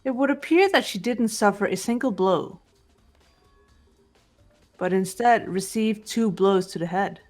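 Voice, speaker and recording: Game role: composed teen girl sleuth female actor, mid-pitched, matter-of-fact, music underneath. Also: unhurried, long pauses, natural voice. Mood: calm, analytical. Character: calm, unemotional teenage girl investigating a murder. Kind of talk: scripted visual novel voice acting.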